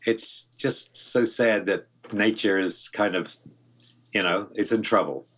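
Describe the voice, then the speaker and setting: A man's voice: lowish voice, fairly even intonation, slow pace, a bit bright, low-quality recording. A man in his seventies with a New Zealand accent, talking casually as an interview guest, rambling and thoughtful with many restarts. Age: 70s. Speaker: guest voice actor. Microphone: home studio mic.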